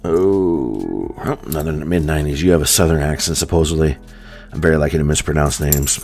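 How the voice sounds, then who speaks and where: A deep-voiced American man with a plain General American accent chats to his viewers at home. He rambles along loosely and fills his talk with ums and likes. Soft music plays faintly behind him. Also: a little fast, vocal fry. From United States. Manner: rambling, filler-heavy, with many ums and likes. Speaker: coin hunting streamer. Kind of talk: casual chat to a live audience.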